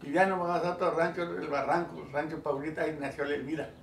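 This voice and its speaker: An older man from Sinaloa, slowly telling his grandson about his ranch life. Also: on the high side, flat delivery, gravelly. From Sinaloa, Mexico. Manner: slow, plain storytelling, seated in an armchair. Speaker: grandfather being interviewed. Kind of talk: recalling ranch life in casual conversation. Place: home living room, indoors. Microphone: camera mic from across the room. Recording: roomy, distant home video with hiss.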